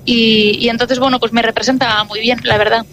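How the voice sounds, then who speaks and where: A Basque-native woman, a jazz singer, answering interview questions in a relaxed, thoughtful way with many filler words. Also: fairly high pitch, normal speed, slight background noise.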